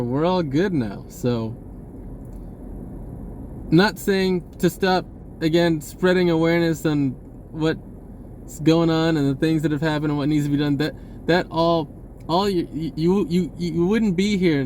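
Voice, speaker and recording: A man talking casually in a rambling monologue, halting and pausing mid-sentence as he goes. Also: a little high, darkish tone, a little hiss or hum. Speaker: vlogger.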